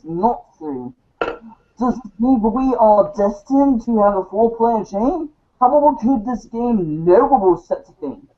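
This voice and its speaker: A woman voicing a verbose, coolly sardonic teenage girl in a stilted, halting reading of a webcomic script. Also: mid-range voice. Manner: stilted, wordy, halting over long words. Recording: dull lo-fi home recording.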